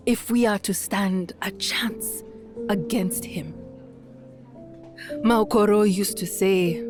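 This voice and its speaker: An actress playing a resolute, grieving young activist in an animated motion comic, speaking in a measured, solemn way. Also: female voice, medium pitch, close to an everyday voice. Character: grieving, resolute young female activist rallying her clans. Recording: music behind the voice.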